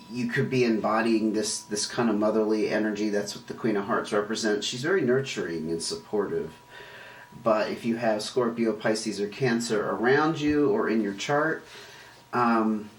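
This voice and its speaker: A hoarse man talks his way through a casual tarot reading, rambling and correcting himself as he goes. His roughened voice sounds a little distant from the mic in a roomy, echoing space, with a faint hiss underneath. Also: fairly low pitch. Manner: chatty, rambling, self-correcting. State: hoarse, voice rough and deeper from illness. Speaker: tarot reader and channel host.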